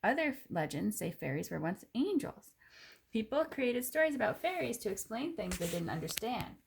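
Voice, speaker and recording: Expressive woman reading aloud, creaky husky voice. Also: on the high side, moderate pace. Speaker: storyteller reading picture book aloud. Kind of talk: read-aloud narration of a children's nonfiction picture book with casual asides.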